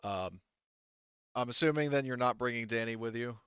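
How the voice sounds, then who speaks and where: A man improvising narration as a tabletop game master, casual and halting as he thinks aloud. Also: medium pitch, a bit bright, clear, resonant tone, no room sound, lo-fi sound.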